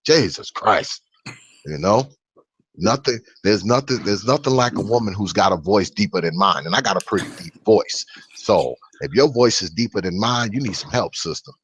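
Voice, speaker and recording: A man's voice: fairly low pitch, animated, moderate pace, a bit bright, husky, no room sound. A man speaking as an interview guest, blunt and opinionated, filling his speech with "you know". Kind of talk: casual video interview chat.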